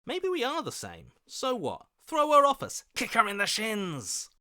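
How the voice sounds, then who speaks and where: A man's voice: high voice, wildly swooping pitch, a little slow, sharp tone, heavily acted. A man voicing a scheming, self-serving opportunist in a video game, with a sly, ingratiating, theatrical manner. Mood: urgent, scheming. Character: scheming, self-serving, weaselly opportunist voice inside the hero's head.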